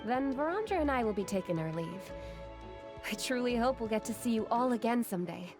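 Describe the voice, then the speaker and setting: Woman voicing a calm polite game assistant, gently chiding, bit high, soft music behind. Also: fairly quick, close to an everyday voice. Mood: fond. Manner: polite, composed. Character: composed, gently chiding female research assistant, fond, patient. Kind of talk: video game voice acting.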